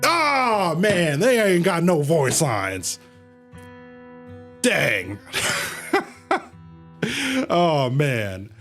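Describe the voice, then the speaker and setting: A man's voice: a little high, very animated, drawn-out words, pauses often, a bit bright, faint music behind. A man hosting a casual game livestream, rambling along and addressing his viewers as y'all. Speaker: livestream host. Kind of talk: casual game stream commentary.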